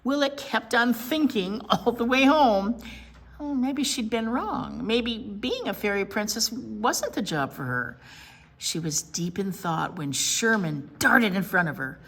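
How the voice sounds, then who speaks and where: A woman's voice: on the high side, expressive, unhurried, a bit bright, husky. A woman reading a picture book aloud expressively as a children's storytime presenter.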